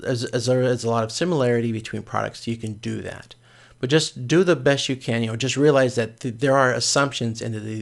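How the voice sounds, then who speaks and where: Male instructor, mid-pitched with noticeable vocal fry, informal explanatory delivery, halting and self-rephrasing. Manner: hesitant, explanatory, often repeats and rephrases. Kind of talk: informal explanatory lecture, screen walkthrough.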